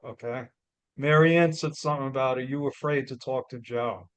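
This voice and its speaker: A man with a New York accent giving a loud, blunt, emphatic motivational talk as a guest speaker. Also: mid-range voice, steady tone, moderate pace, clear, resonant tone, no room sound.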